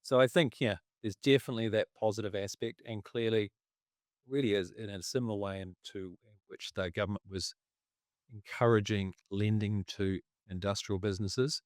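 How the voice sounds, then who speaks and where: A man, losing his voice, talking through markets in a casual, halting way and clearing his throat often. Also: lowish voice, fairly even intonation, no echo, studio quality. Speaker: investment commentator.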